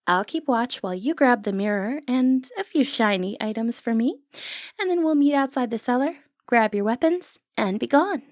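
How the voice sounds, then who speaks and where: A woman's voice: a little high, animated, normal speed, dry recording, clean studio sound, close to an everyday voice. A woman acting a sly, flirtatious thief in a fantasy ASMR roleplay, with a teasing, confident, wry way of talking. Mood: brisk, confident scheming.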